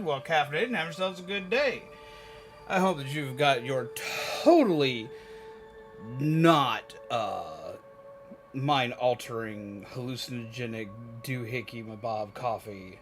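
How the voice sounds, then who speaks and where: A man's voice: medium pitch, fairly bright tone. A man hosting a casual livestream, chatting to viewers in a playful, theatrical way with exaggerated emphasis. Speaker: livestream host. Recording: music playing behind the voice. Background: background music.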